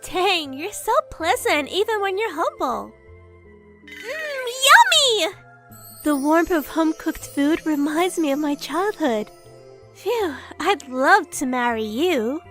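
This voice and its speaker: A woman's voice: extremely high pitch, big pitch swings, slow, fairly bright tone, soft background music, full character voice. A woman playing a kind, cute, easily flustered young lady in a manga dub, with a sweet, girlish manner. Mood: delighted, gushing. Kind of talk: anime-style manga dub acting.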